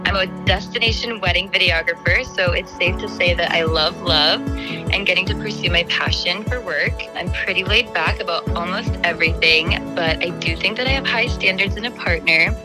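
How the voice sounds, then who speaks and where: A woman with a slightly raspy, somewhat low voice chats in a friendly way as a caller on a dating segment, laughing easily. Music plays clearly behind her. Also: moderate pace, low-quality recording.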